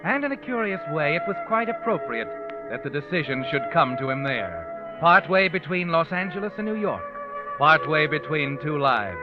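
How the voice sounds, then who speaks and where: An actor playing a sinister, knowing American narrator in 1940s radio suspense, ominous, deliberate and insinuating. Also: male voice, a little high, big pitch swings. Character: sinister, knowing male narrator taunting the guilty. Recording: muffled vintage radio, music underneath. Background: dramatic music.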